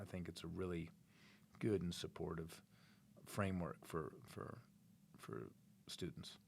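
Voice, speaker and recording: A man's voice: sits low, slight background noise. A middle-aged man answering interview questions as a proud parent, rambling with many fillers and restarts.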